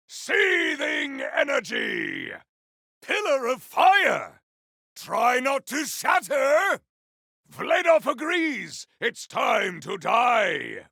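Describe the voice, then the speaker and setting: A man voicing a grandiose, battle-hungry warrior in a video game, with a rough, gravelly voice and bombastic, theatrical delivery. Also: extremely high pitch, sharp tone, no room sound, studio quality, exaggerated voice. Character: grandiose, battle-hungry male warrior with fire and ice powers. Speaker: playable game character. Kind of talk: video game combat barks.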